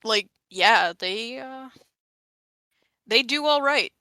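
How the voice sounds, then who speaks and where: A woman's voice: fairly high pitch, lively intonation, slow pace, bright, forceful tone, no echo, clean studio sound. A woman chatting casually as a player in a tabletop roleplaying game, explaining things in a wry way.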